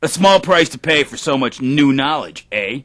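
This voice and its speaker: An actor playing a pompous, precise genetic engineer in an audio drama, speaking formally. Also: male voice, medium pitch, lively intonation, moderate pace, fairly bright tone, lightly acted. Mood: self-important, formal. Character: self-important male genetic engineer and entrepreneur, precise, pompous.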